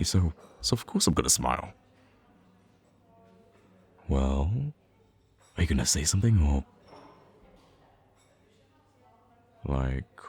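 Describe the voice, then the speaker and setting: A man's voice: rumbling low, darkish tone, slightly rough, full character voice. A man playing a smitten young best friend in an intimate romantic ASMR roleplay, speaking hesitantly and tenderly with some playful teasing. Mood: flustered, playful.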